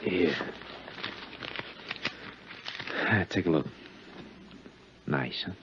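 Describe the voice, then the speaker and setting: An actor playing a down-on-his-luck male bandleader in a 1950s radio drama, husky, halting, slangy and confiding, blaming himself over a lost love. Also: background noise. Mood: eager. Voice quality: husky, low. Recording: dull 1950s radio recording.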